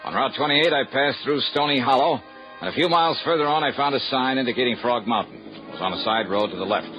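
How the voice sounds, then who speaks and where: A man's voice: mid-range voice, fast, soft background music, lightly acted. An actor playing a wisecracking male insurance investigator around thirty, dry and easygoing in a 1950s radio drama. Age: about 30. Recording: dull, noisy old radio broadcast.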